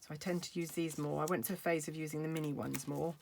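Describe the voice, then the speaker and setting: A middle-aged woman with a slightly croaky voice, chatting casually as she demonstrates card making at her craft table. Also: sits low, fairly even intonation, fast, darkish tone, slight background noise. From United Kingdom. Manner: chatty, thinking aloud while working.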